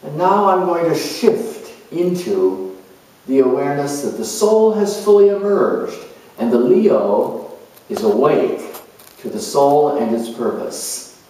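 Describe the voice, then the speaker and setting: A man presenting an astrological dramatization with deliberate, measured phrasing. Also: on the high side, very animated, warm tone. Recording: very echoey room, distant microphone.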